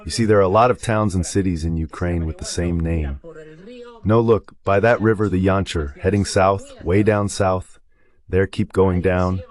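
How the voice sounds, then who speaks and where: A man with a low-pitched, synthetic AI dubbing voice gives live map commentary. He explains step by step and repeats short phrases as he points things out. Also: fairly even intonation, moderate pace. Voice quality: synthetic dubbed AI voice. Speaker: guest commentator.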